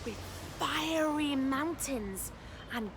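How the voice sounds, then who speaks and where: A woman teacher reading a storybook to young children in an expressive, encouraging way, with dramatic emphasis and chatty questions to her listeners. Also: high voice, very slow, slight background noise.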